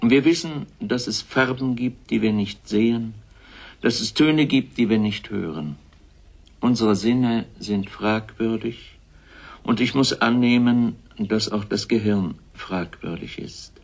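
Low, slow, sober man reading. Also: creaky. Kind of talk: measured literary reading.

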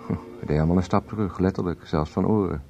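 An actor playing a hesitant, attentive confidant in a Dutch radio play. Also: male voice, sits low, quick pace, vocal fry, mellow. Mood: grave. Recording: dull radio recording, music behind.

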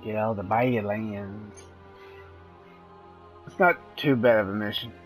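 A man's voice: on the low side, steady tone, unhurried, soft background music. A man giving casual, blunt gameplay commentary, talking as if to himself. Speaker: video game player.

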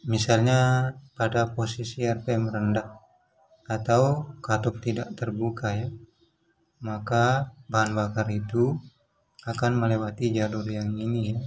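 A man giving a hands-on repair tutorial, explaining in a plain, unhurried way with frequent fillers. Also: lowish voice, a little mellow, a little hiss or hum, poor audio. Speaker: small engine repair instructor.

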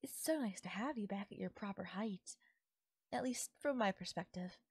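A sweetly controlling fairy woman, acted by a voice actress for an intimate audio roleplay. Her voice is husky and close, with a crackle of fry and a very dry sound. She coaxes and teases with doting, possessive affection. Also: medium pitch, normal speed, dry recording. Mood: delighted, doting. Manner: coaxing, affectionate, softly teasing. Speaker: voice actor. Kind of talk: intimate audio roleplay, ASMR-style acting.

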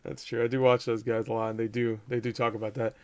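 Creaky-voiced young man reacting casually. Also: medium pitch, flat delivery, quick pace, darkish tone. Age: young adult. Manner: profane, exclamatory, joking asides. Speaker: reaction video host. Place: small indoor room at home.

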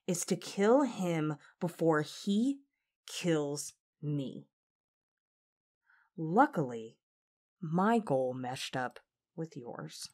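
A woman in an audio drama playing a proud, guarded demon princess with a solemn, formal, measured manner. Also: medium pitch.

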